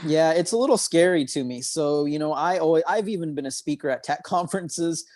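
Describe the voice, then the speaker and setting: A man, a memory expert, explaining his ideas in a podcast interview in an earnest, clear way. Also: on the high side, fairly quick, a little mellow, no echo, clean studio sound.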